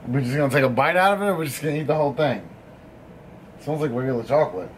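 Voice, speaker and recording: A man's voice: on the high side, expressive, racing, a little hiss or hum, rough recording. A man, chatting casually and swearing as he tastes candy on his vlog. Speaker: host. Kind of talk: casual candy-tasting chat. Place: studio room with equipment racks.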